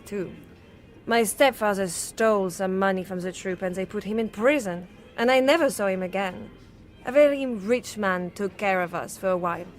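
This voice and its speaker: Actress as a flirtatious worldly woman, frank, precise wording, mid-pitched, radio play, music behind. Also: lightly acted. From Serbia. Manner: coquettish, frank, precise formal phrasing. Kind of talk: radio drama acting.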